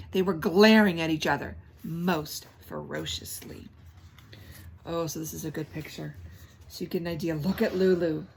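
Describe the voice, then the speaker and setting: A woman with a medium-pitched, slightly husky voice reads a children's story aloud. She leans on key words and repeats them for emphasis. In between, she turns instructive, prompting her young listeners the way a teacher would. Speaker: read-aloud host reading a children's book to young listeners.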